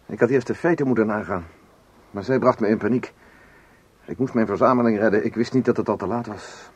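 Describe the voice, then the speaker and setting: A man's voice: fairly low pitch, a little fast, husky. An actor playing a despairing man in a Dutch radio drama, defeated and full of self-reproach. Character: broken, despairing man mourning his ruined life's work.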